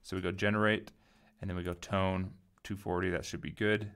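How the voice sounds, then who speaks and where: Low, gravelly man giving a tutorial. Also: level tone, brisk, fairly bright tone. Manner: informal, thinking aloud as they go. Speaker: tutorial host. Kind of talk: casual screen-recorded software tutorial.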